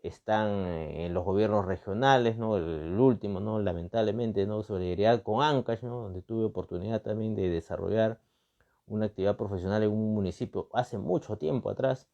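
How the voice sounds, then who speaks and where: A man's voice: on the low side, normal speed, dark tone, highs cut off. A man from Peru with a Peruvian accent gives off-the-cuff analytical commentary, emphatically repeating phrases and posing rhetorical questions with frequent tag words. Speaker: political and constitutional commentator. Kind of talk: extemporaneous analytical talk.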